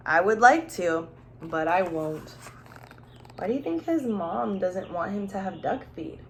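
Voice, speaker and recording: A woman's voice: medium pitch, expressive, unhurried, a little hiss or hum. A woman teacher reading a children's storybook aloud, expressively, with questions and asides addressed straight to young listeners.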